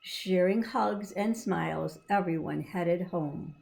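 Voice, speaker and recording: Lady doing a kids read aloud, lots of vocal fry, teachery and encouraging, explains stuff simply. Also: on the low side, takes it slowly, a little mellow. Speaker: children's storybook reader.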